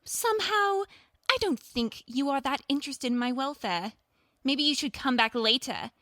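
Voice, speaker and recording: A woman voicing a feisty, defiant young dame in an audio drama, sharp-tongued and sarcastic. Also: high-pitched, animated, moderate pace, dry recording, clean studio sound. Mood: defiant sarcasm.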